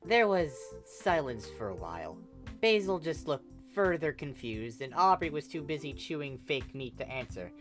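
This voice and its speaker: A woman narrator reads a fan story expressively. Her voice is very low for a woman, with lively swings in pitch, over faint music. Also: normal speed. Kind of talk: animated fan fiction reading.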